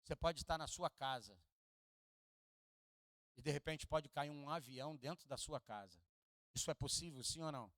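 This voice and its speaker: A Brazilian pastor with a Rio de Janeiro accent and a fairly high voice preaches in a church. He swings between quiet, low asides and loud, lifted peaks, and he jokes with the congregation and asks them to repeat after him. The sound is close and dry, with little room echo. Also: normal speed, pauses often. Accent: Carioca Portuguese. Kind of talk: sermon. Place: church hall.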